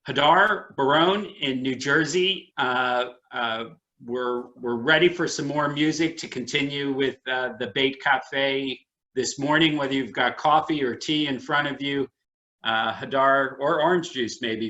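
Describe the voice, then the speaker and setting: A man hosting an online arts program with unscripted remarks, halting and repeating words as he searches for them. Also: mid-range voice, bright, forceful tone. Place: online video call. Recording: dull lo-fi video-call audio.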